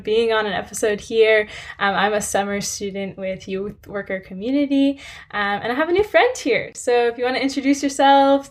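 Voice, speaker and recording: A young woman hosting a podcast for the first time, asking questions in a friendly, slightly tentative way. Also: a little high. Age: young adult. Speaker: podcast host. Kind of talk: casual interview.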